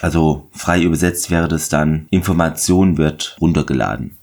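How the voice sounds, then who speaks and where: Host, male, low-pitched, nasal from a cold, casual and digressive. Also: fairly even intonation, moderate pace, darkish tone. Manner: chatty, rambling, self-correcting. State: stuffy, has a cold. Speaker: podcast host. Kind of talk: casual episode recap.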